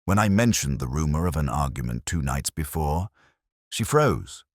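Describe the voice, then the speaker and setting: A man voicing a world-weary middle-aged detective, narrating an audio drama in the first person in a measured, dry and wry manner. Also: a bass voice, sing-song, no room sound, heavily acted. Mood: brooding.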